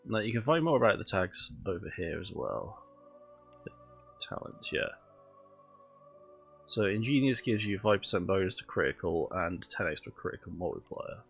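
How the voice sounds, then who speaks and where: A man's voice: sits low, gravelly, fairly bright tone, breathy edge. A man with a cold and a stuffy, nasal voice, chatting casually through a video game while streaming, thinking aloud with lots of ums.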